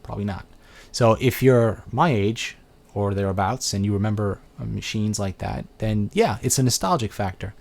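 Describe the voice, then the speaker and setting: A man's voice: fairly low pitch, moderate pace, vocal fry, a little mellow. A middle-aged man from Brooklyn with a New York accent, chatting casually on a webcam and answering viewer questions. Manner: chatty, rambling, thinks aloud with fillers. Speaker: host of a live video chat.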